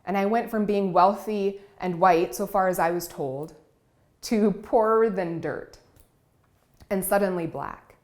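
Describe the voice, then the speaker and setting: A woman's voice: mid-range voice, measured pace. Woman telling her life story on stage. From Cameroon and Iowa. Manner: warm storytelling, vivid and expressive. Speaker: keynote speaker and writer. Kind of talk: personal storytelling speech.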